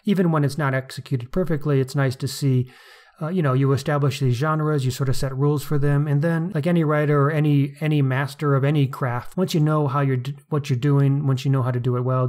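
A man's voice: medium pitch, darkish tone. A man co-hosting a podcast, discussing fiction casually and appreciatively as he thinks aloud. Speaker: podcast co-host.

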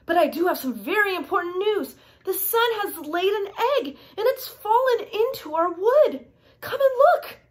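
A woman voicing an excitable, fretful little elf in a children's storybook, with an exclaiming way of talking. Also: extremely high pitch, normal speed, voice pushed up. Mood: excited. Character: excitable little elf guarding a precious sun egg.

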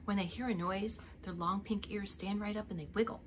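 A woman's voice: medium pitch, fairly even intonation, brisk, a little hiss or hum. A middle-aged woman reading a picture book aloud as a preschool teacher, expressively, with little asides to young children. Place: indoors, home living room.

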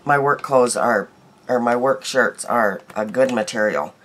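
A middle-aged woman vlogging her daily makeup in a small bedroom, chatty and homey, her voice hoarse from a cold. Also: rumbling low, measured pace, fairly bright tone, a little hiss or hum. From United States. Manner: chatty, homey, laughs easily, trails off. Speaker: beauty vlogger. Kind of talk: casual daily makeup chat to camera.

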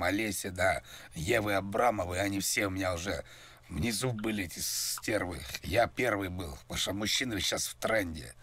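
Low, husky man on a prank call. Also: a bit bright. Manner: rambling, crude, mock-serious. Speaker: prank caller. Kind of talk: rambling prank phone call.

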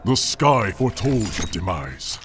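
An actor playing a proud, regal warrior emperor in a video game, speaking in formal, solemn, menacing lines. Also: male voice, on the low side, very animated, unhurried. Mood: stern, threatening. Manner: formal, solemn, stately threats. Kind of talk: video game character dialogue.

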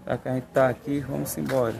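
A man's voice: medium pitch, little pitch movement, quick pace, creaky, very noisy. A man filming a walkaround of cars for sale, upbeat and full of repeated catchphrases, recorded on a phone. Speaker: car fair vlogger. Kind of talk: hyped sales walkaround. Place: outdoor car lot. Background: crowd chatter at a car fair.

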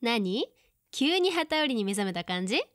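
A woman's voice: a little high, big pitch swings, moderate pace, a bit bright, dry recording, clean studio sound. A woman playing a teasing, perceptive woman in her mid-thirties who puts on cheer, in casual audio drama dialogue. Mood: probing, evasive.

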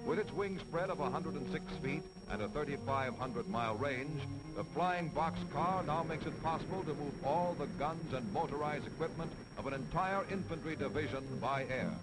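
A man's voice: a little high, normal speed, few pauses, loud music behind the voice, poor audio. An American man narrating a scripted 1940s military newsreel in a formal, declamatory announcer style on an old film soundtrack.

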